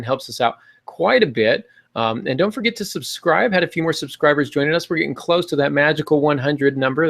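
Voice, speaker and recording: Creaky-voiced man hosting a casual podcast. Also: mid-range voice. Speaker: podcast host.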